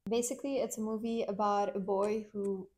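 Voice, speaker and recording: A woman's voice: mid-range voice, fairly even intonation, a little fast, a little mellow. A young woman co-hosting a casual film review, explaining the story in a chatty way with fillers.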